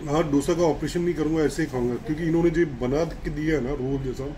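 Chatty male food vlogger, slightly high voice. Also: steady tone, fast talker, music in the background. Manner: chatty, savoring food with little exclamations. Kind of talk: casual food review vlog. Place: restaurant breakfast buffet. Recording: restaurant background noise. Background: restaurant ambience.

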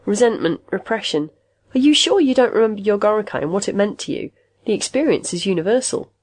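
A woman's voice: mid-range voice, animated, quick pace, a little mellow, lightly acted. A woman voicing a condescending therapy machine in an audiobook, formal and patiently probing, full of clinical jargon.

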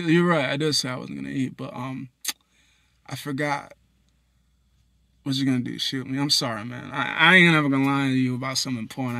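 A young man from Haiti, talking into his phone in a parked car at night, blunt and profane, swinging between loud bursts and low mutters. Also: creaky, no room sound, clean studio sound. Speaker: vlogger. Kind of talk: rambling personal monologue. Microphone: phone camera at arm's length.

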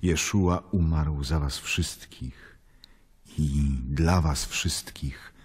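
An actor playing a solemn, otherworldly risen prophet with a husky, breathy voice in a radio drama. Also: male voice, very deep voice, steady tone, extremely slow, darkish tone, full character voice. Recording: long cavernous reverb.

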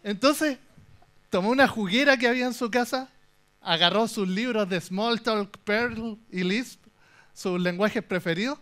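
A man's voice: high-pitched, a little slow. A young man with a Chilean accent giving a humorous tech talk on a conference stage, telling the story with jokes and asides. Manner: animated, joking storyteller. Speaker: conference speaker.

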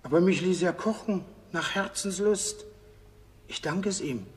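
An actor playing a grateful, proud army cook, earnest and declamatory in a theatrical radio play. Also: male voice, high voice, measured pace. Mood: proud, fond. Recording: long hall-like reverb.

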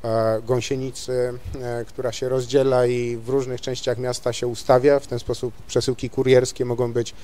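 A man talks on stage at a panel discussion, his voice mellow and dark. He speaks in a measured, articulate way and pauses on small fillers as he builds his point. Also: mid-range voice, level tone. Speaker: panelist, automotive journalist and vehicle designer. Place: large university lecture hall.

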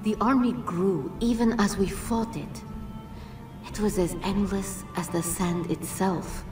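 A woman voicing a solemn, regal, ancient female djinn in a video game, speaking in a measured, formal way. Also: medium pitch, natural voice. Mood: grave. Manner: measured, formal, grave delivery. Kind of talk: dramatic video game cutscene acting. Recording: roomy echo, distant, music underneath.

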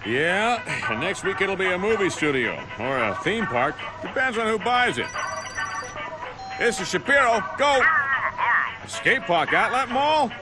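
High, slick Hollywood wheeler-dealer. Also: male voice, big pitch swings, unhurried, over music, low-quality recording. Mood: smug. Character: slick, glib, greedy male Hollywood landowner. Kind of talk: video game cutscene acting.

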